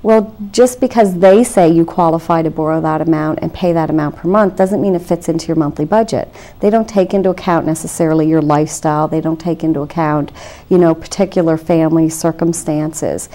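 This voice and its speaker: Mellow-voiced woman explaining calmly. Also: sits low. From Canada. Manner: measured and reassuring, many "you know" fillers. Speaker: debt counselor guest. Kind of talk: explanatory interview answers. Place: television studio.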